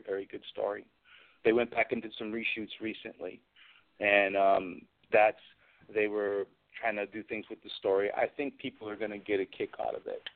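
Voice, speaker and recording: Low-pitched man on a tinny line. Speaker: guest, film special effects artist. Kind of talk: casual radio interview chat. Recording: band-limited phone-like radio sound.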